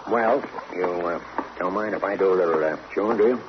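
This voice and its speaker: An actor playing a smug, menacing gambler in an old-time radio crime drama, with mocking, slangy tough talk. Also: male voice, low voice, brisk, natural voice. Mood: mocking menace. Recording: noisy muffled old radio drama recording.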